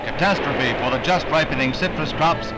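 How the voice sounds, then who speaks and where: A man's voice: on the high side, moderate pace, heavy background noise. An American man narrating a 1960 newsreel in a dramatic, emphatic announcer style with florid phrasing. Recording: vintage 1960 newsreel soundtrack, loud music. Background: loud orchestral newsreel music.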